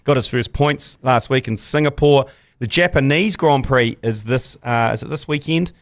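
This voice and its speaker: Man, New Zealand accent, hoarse, casual rambling sports chat, dry close sound. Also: mid-range voice, moderate pace, no echo. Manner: laid-back, rambling, slangy. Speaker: podcast host.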